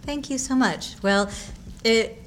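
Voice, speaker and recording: A woman's voice: a little high, slow, a little hiss or hum. A woman being interviewed as a guest, answering modestly and graciously.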